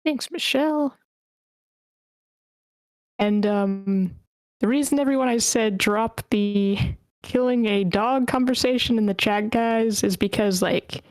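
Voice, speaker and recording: A woman's voice: mid-range voice, no echo, rough recording. A woman informally moderating an online group meeting, addressing participants and running a feedback round. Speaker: moderator of an online table read. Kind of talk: informal moderating, calling on speakers.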